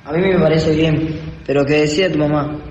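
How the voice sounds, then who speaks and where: A man's voice: fairly high pitch, fairly even intonation, fairly quick, darkish tone, close to an everyday voice. Man as mild father, very echoey. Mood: gently concerned. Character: mild, conciliatory father at family dinner. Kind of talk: amateur radio drama dialogue. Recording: very echoey hall, muffled, faint noise.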